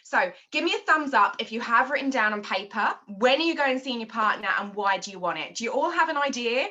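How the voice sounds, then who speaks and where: English woman, London-raised team leader, crisp bright voice, direct coaching. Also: on the high side, animated, brisk, lo-fi sound. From London, England. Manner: direct, questioning. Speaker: marketing director leading a team call. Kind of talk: motivational training call.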